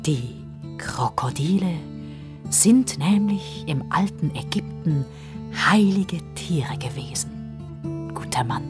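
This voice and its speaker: A woman voicing a small, mysterious elderly male professor, solemn and drawn-out, sometimes whispery, in a children's story. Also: low-pitched, expressive, music in the background.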